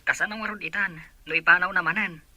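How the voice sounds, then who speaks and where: An actor voices a grown child confiding in loved ones in an audio drama. The voice is very sharp and forceful, mid-pitched, and recorded dry and close. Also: fairly even intonation, quick pace, no room sound. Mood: questioning. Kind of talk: Ilocano audio drama acting.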